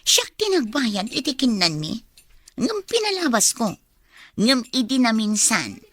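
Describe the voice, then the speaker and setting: A woman with a Filipino accent playing a young single daughter in a radio drama, expressive and quick to protest about her love life. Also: a little high, heavily acted. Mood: complaining. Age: young adult. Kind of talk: Ilocano radio drama acting.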